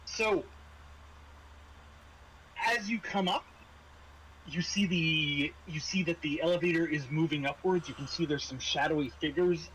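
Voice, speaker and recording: A man runs a tabletop roleplaying game, narrating scenes and explaining rules as game master. His voice is fairly high and forceful, with a bright edge. The recording has faint room noise. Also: unhurried, pauses often, low-quality recording.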